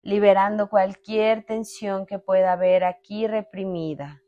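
A woman leading a guided meditation in a calm, unhurried way, speaking in short phrases with long pauses. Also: mid-range voice, lo-fi sound. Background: meditation music with sustained tones.

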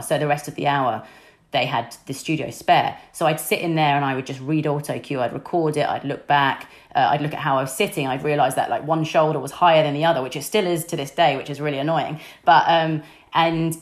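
Young woman, deep husky low voice, chatty and candid interview guest, lots of fillers. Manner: chatty and candid, many fillers like "you know". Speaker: sports news presenter, podcast guest. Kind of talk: casual interview chat.

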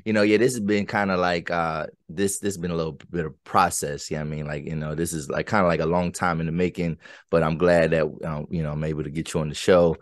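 Deep-voiced rambling podcast host. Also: male voice, steady tone, quick pace, dry recording. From central Pennsylvania. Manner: rambling, many fillers and restarts. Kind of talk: casual interview chat.

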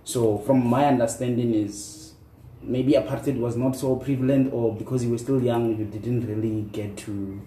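A man's voice: medium pitch, steady tone, normal speed, dark tone. A man in his thirties with a South African accent, talking casually and reflectively as an interview guest. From rural South Africa. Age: 30s. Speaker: podcast guest. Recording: roomy echo, distant mic, faint noise.

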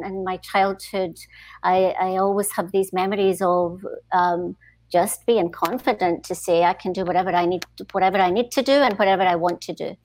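A middle-aged Scottish woman speaks as a podcast guest, reflecting on her past in a measured, conversational way. She pauses often, starts sentences over, and lands each point with quiet assurance. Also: mid-range voice, low-quality recording. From Scotland. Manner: thoughtful, frequent "um" pauses and repeated starts. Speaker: podcast guest, HR leadership consultant. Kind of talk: conversational interview.

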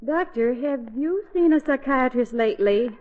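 A woman's voice: sits high, moderate pace, close to an everyday voice. An actress playing a fussy, well-meaning, muddled middle-aged mother in a 1940s radio comedy. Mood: polite, puzzled. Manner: fussy, fluttery. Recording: muffled 1940s radio recording.

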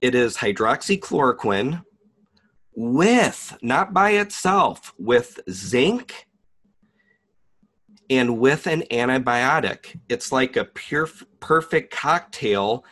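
A man hosting an online video, reading a document aloud and commenting in a deliberate, emphatic way. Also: mid-range voice, expressive, sharp tone.

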